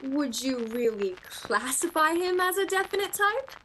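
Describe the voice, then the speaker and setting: High-voiced, earnest young shopgirl played by a woman. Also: big pitch swings, measured pace, fairly bright tone, slight background noise, full character voice, rough recording. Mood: hesitant, defensive. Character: young shop clerk woman, romantic, earnest and bookish. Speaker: amateur actor. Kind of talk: radio play acting.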